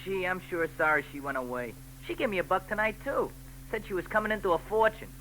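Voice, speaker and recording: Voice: mid-range voice, fast talker, a bit bright, close to an everyday voice, low-quality recording. An actor playing an eager, friendly young newsboy in a 1940s radio detective drama, with a folksy, polite manner. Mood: chatty, eager. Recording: hissy, humming 1940s radio recording.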